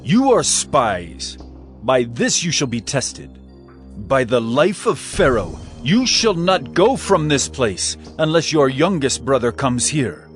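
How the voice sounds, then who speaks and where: A man playing a stern governor who speaks slowly and firmly in a dramatized scripture reading, over faint music. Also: mid-range voice, sing-song. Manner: slow, stern and measured. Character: stern Egyptian governor testing his brothers.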